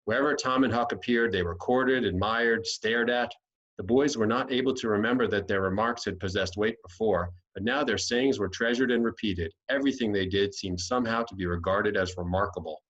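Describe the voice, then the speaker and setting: A man's voice: lowish voice, brisk, a bit bright, dull audio. An American man reading a classic storybook aloud as scripted narration. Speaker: audiobook reader.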